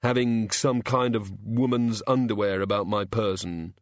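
A man voicing Bilious, a queasy, pitiful male god of hangovers who groans and mumbles haltingly. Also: fairly low pitch, fairly even intonation, no room sound, studio quality, natural voice.

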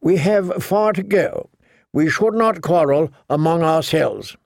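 A man's voice: fairly high pitch, animated, slow, gravelly, darkish tone, no echo, clean studio sound, voice pushed up, full character voice. A man voicing a timid, ingratiating noble knight who pleads and placates, in an audiobook. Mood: anxious, placating. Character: timid, fawning noble cousin, a male knight.

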